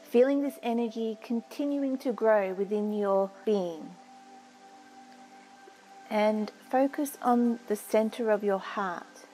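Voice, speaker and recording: A woman narrating a guided meditation in a soothing, measured way with long pauses. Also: medium pitch, dark tone. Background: music.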